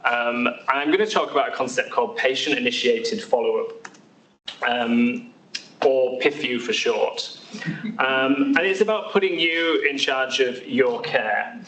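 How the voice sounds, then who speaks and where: A man's voice: mid-range voice, a little slow, a bit bright, a little hiss or hum. British man giving a talk in an echoey hall. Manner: friendly, many fillers and restarts. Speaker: haematology doctor. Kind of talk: seminar talk to patients. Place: large echoey hospital hall. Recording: roomy hall sound from far off.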